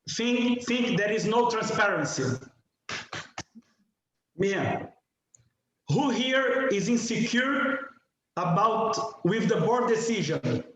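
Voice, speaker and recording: A man in his forties from Brazil with a strong Brazilian Portuguese accent, giving a halting candidate speech at a residents' meeting. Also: high voice, fairly bright tone, highs cut off. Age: 40s. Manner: halting, apologetic about his English, asks the audience questions. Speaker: board candidate. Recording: very echoey room with some noise.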